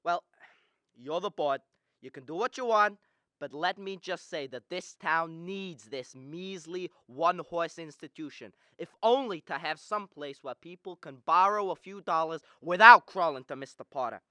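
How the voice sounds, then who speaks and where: An idealistic young man played by a student actor in a radio play, speaking with defiant conviction. The voice is bright, clear and recorded dry. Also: mid-range voice, moderate pace, no room sound, close to an everyday voice. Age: young adult. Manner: impassioned, defiant. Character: earnest, principled, outspoken young man. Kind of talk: radio drama acting.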